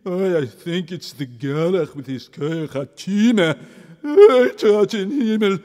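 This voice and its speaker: A man plays a distraught middle-aged shopkeeper in a children's story, lamenting with Yiddish cries. The voice sits high and moves slowly. A long hall reverb trails every phrase. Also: expressive, warm tone. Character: distraught middle-aged male hat-store owner.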